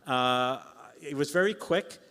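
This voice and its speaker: A man's voice: fairly high pitch, slow, a bit bright, smooth. Emphatic male panel speaker in echoey hall. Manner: emphatic, punchy short phrases with rhetorical questions. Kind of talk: live persuasive conference remarks. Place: large indoor conference hall. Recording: echoey hall sound system.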